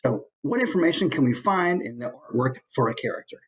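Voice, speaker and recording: Animated American man giving a workshop. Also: medium pitch, brisk. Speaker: voice actor giving a presentation. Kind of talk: informal instructional talk with examples.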